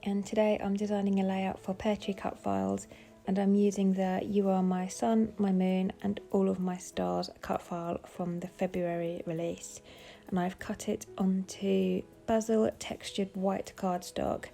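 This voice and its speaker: A woman with a cold, sounding bunged up, calmly explaining each step of her craft project in a casual tutorial voice-over. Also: mid-range voice, measured pace, creaky. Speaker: papercraft designer.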